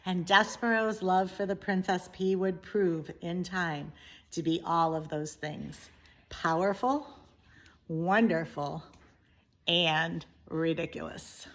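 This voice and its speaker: A woman narrating a children's story expressively, with deliberate, dramatic emphasis. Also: fairly low pitch, fairly even intonation. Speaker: story reader, teacher.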